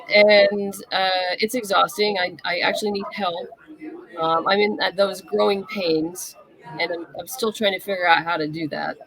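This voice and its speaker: Woman with a creaky, gravelly edge, chatting candidly. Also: mid-range voice, expressive, a little slow, crowd noise, poor audio. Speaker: interview guest, comic writer and novelist. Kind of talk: casual conversational interview.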